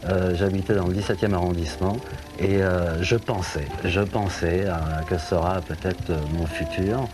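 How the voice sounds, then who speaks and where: A man's voice: low-pitched, steady tone, normal speed, music in the background. A middle-aged man from France, a singer guest on a TV show, telling anecdotes in a rambling way with many hesitations. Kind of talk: chatty interview talk.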